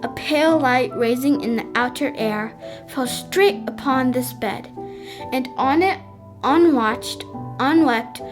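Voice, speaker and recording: Child narrator for a school radio play, high and slow, faint music bed. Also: female voice. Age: child voice, third grader.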